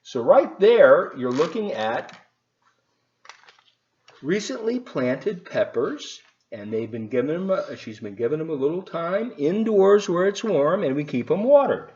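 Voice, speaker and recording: A man talking to children as a volunteer storybook reader, explaining things in an animated, unhurried, folksy way. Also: a little high. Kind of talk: talking to children and reading a picture book aloud. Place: at home, partly in a living room. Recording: roomy, slightly muffled home video.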